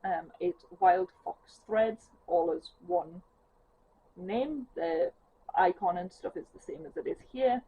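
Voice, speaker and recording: Geordie woman rambling about her stitching, gravelly bits in her voice, tinny phone-ish audio. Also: medium pitch, sing-song, slow. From northeast England. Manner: chatty, rambling with many ums. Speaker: craft vlogger. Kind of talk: casual chat to camera. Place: home living room, sitting on a sofa. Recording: tinny phone-like sound with faint hiss.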